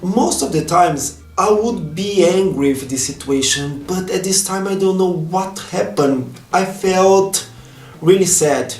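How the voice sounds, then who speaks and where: A man's voice: sits high, a little slow, a little mellow, echoey room, faint music behind. Brazilian-accented man, earnest vlog talk. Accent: Brazilian Portuguese. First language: Portuguese. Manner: earnest and heartfelt, slightly non-native phrasing. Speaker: vlogger. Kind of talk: personal vlog monologue.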